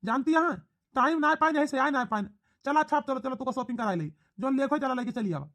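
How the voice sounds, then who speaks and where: A man's voice: extremely high pitch, fast, pushed and bright, full character voice. A man voicing a proud, defensive young husband in a village cartoon, protesting and making excuses with an Awadhi accent. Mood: placating. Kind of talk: rustic cartoon comedy dialogue.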